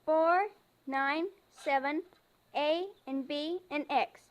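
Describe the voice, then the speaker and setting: Very high-voiced, bossy little girl. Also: steady tone, slow, highs cut off. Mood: bossy, urgent. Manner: loud, strong, insistent. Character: excited, bossy, definite little girl playing invasion. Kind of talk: old-time radio drama acting.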